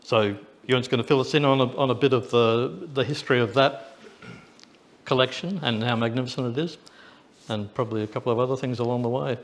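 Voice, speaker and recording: A man's voice: medium pitch, fairly even intonation, fairly quick. A man with an Australian accent informally introducing a guest speaker at a club meeting.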